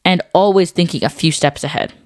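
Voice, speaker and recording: A woman with a low voice co-hosts a scripted educational podcast for children. She explains things in clear, simple terms, and the sound is very dry, with little room in it. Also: brisk, no room sound. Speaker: podcast co-host.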